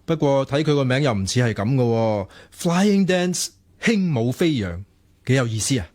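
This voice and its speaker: A man's voice: mid-range voice, lively intonation, moderate pace, darkish tone, close to an everyday voice. An actor playing an earnest, naive, romantic young man in a radio drama, speaking with a Hong Kong Cantonese accent. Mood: earnest, amused.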